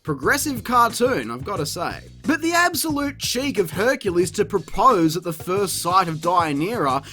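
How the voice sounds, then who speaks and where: High, animated sarcastic man reviewing. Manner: sarcastic, crude, mocking. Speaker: video reviewer. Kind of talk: comedic scripted review narration.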